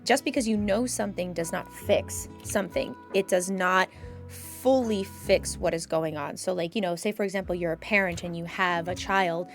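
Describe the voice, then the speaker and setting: A woman's voice: fairly low pitch, normal speed. A woman hosting a conversational discussion, explaining things in an earnest, emphatic way. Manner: earnest, emphatic, explanatory, voices imagined quotes. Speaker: podcast host and mental health professional. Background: background music.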